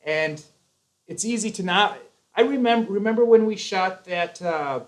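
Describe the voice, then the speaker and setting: A man's voice: sits high, lively intonation, normal speed. A man hosting a live chat, talking earnestly and at length, with frequent restarts. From United States. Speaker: YouTube host.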